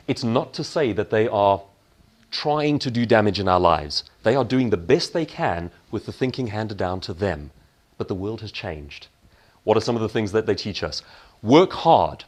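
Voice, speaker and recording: A man giving a motivational keynote talk in an emphatic manner, posing questions and answering them himself. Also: mid-range voice. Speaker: keynote speaker.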